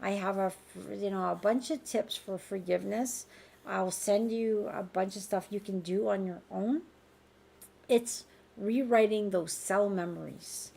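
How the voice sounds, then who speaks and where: A woman with a raspy voice hosting a live group healing call. She speaks in a gentle, reassuring and informal way. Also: mid-range voice, unhurried. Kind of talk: live-streamed conversational healing talk. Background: faint background music.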